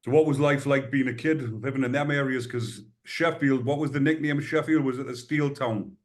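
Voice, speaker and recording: A man's voice: mid-range voice, steady tone, a little fast. A man hosting a podcast, asking loosely phrased, chatty questions. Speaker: podcast host. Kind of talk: interview questions and introductions.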